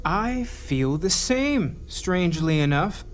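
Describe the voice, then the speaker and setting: A man's voice: sits high, big pitch swings, takes it slowly, soft background music, full character voice. An actor playing a breezy, competitive, wryly philosophical male space explorer in a video game, in a playful, theatrical, musing manner. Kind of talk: video game cutscene acting.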